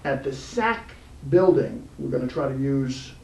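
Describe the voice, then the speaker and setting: Gravelly man hosting in an echoey room. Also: mid-range voice, lively intonation, a little slow, darkish tone. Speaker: interview show host. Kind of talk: informal interview. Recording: roomy, distant mic, faint background noise.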